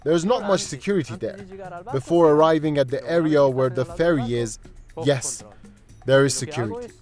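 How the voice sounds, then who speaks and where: A man's voice: on the high side, normal speed, a little mellow, close to an everyday voice. A man reading the translated testimony of a young Moroccan migrant man as a documentary voice-over. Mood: plain recounting. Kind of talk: translated first-person documentary narration. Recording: music under the voice.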